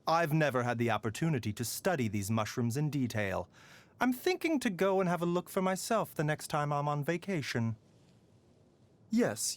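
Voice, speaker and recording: A calm, courteous pharmacist speaks softly and with measured care. His fairly high voice rises and falls with lively, wry turns, over faint game music. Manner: soft-spoken, measured, politely wry. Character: gentle male pharmacist doctor, calm and courteous. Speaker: game character voice lines. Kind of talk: scripted game voice lines.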